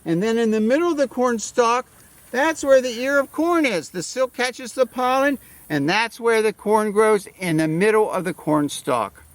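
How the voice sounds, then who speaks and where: A man playing an excitable farmer who teaches children about the garden, asking questions and explaining. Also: very high-pitched, normal speed, dry recording. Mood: playful, instructive. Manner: animated explaining, asks questions. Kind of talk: children's educational segment.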